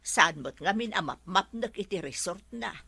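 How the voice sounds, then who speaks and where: A woman's voice: on the low side, animated, normal speed, gravelly, pushed and bright, breathy edge, no echo, exaggerated voice. A woman with an Ilocano accent playing a chatty, inquisitive household companion in a radio drama. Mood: curious.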